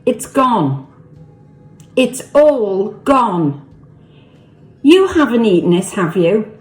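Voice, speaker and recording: Cross mum telling off her kid, done by a woman reader, high, slow, disbelieving, echoey room. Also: big pitch swings, a little mellow, soft background music, heavily acted. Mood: incredulous. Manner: incredulous, scolding. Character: cross mother confronting her child over eaten cake.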